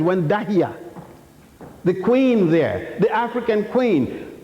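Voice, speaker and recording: A man's voice: high-pitched, darkish tone. An older man from Ethiopia giving a public history lecture, blunt and defiant, rambling with many restarts. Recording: echoey hall, dull lo-fi sound.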